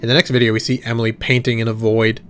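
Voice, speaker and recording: A man's voice: mid-range voice, level tone, fast talker. A man giving casual, wry, sweary commentary and reactions on a horror series. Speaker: video essayist and reactor. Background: background music.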